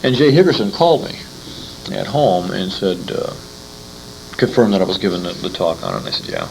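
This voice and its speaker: Low, gravelly man, halting and animated. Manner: rambling, halting, repeats words. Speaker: interviewer. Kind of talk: informal interview chat. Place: old-time radio convention. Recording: faintly noisy amateur convention tape.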